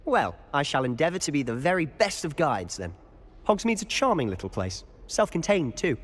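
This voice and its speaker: Game role: jaunty teen wizard, animated delivery, playfully formal, long hall reverb. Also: male voice, fairly high pitch, moderate pace. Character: jaunty, courteous teenage wizard schoolboy. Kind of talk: video game acting.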